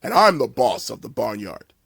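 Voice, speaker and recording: A man voicing a big, boastful young bull in a storybook, loud, deep and taunting. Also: very animated, moderate pace, gravelly, husky, dry recording, clean studio sound, exaggerated voice. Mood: menacing, bossy.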